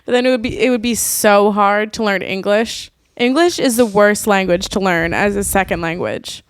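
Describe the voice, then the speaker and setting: A woman's voice: medium pitch, moderate pace, clean tone, no echo. A young woman from Boston co-hosting a podcast, reflective and questioning in casual chat. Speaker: podcast co-host.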